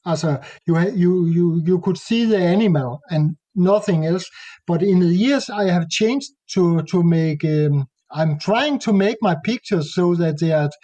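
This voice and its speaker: Halting Danish-accented man, high and mellow. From Denmark. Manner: halting, searches for English words. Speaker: nature photographer guest. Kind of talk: casual interview talk.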